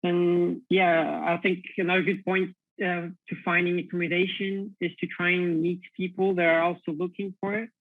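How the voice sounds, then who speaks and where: A man's voice: high-pitched, flat delivery, moderate pace, dry recording. A young man from Portugal, a university student, answering questions on an online panel and repeating words as he searches for them. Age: young adult. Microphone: video call. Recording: tinny phone-like video call audio.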